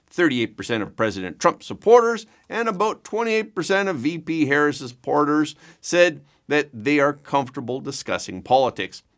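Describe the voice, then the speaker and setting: A man reading scripted news as an anchor, with a measured, emphatic delivery. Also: fairly high pitch, a bit bright.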